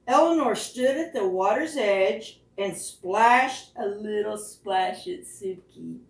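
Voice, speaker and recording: A woman reading picture books aloud to preschoolers as a storytime host, with expressive, deliberate phrasing and questions to the children. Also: medium pitch. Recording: roomy, echoing, distant microphone.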